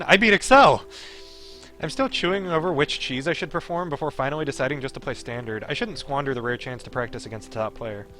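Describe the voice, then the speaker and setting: A man with a bright, forward voice reads a visual novel aloud on a stream. He moves between expressive narration and casual, offhand asides about the game, with music playing behind him. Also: medium pitch.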